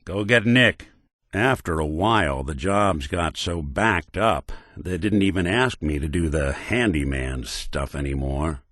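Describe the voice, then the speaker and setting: Game companion: a world-weary male synth detective, dry and wry, low and expressive, close dry recording. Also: measured pace, no room sound. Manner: dry, world-weary noir drawl, gruff when angry. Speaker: companion character. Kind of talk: game voice lines.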